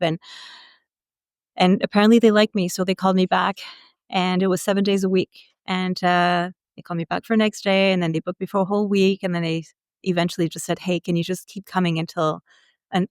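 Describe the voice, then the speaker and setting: A woman's voice: on the low side, no room sound, clean studio sound. A woman telling stories casually as an interview guest, candid and chatty.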